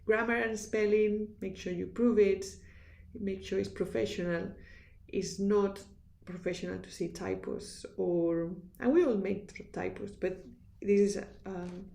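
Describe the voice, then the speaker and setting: Woman lecturer, Spanish accent, earnest and instructive, gravelly mellow voice, echoey room. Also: medium pitch, steady tone, unhurried. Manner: earnest, instructive, with restarts and fillers. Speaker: university lecturer. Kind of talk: recorded lecture.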